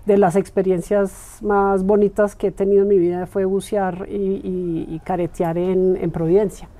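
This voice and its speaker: A woman in her forties with a mellow, warm voice answers interview questions in a bright indoor room. She talks in a thoughtful, unhurried way and laughs easily. She is recorded from a camera set back from her. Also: mid-range voice, little pitch movement. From Colombia. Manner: thoughtful, gestures while talking, laughs easily. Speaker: interviewed guest, club member. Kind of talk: relaxed conversational interview.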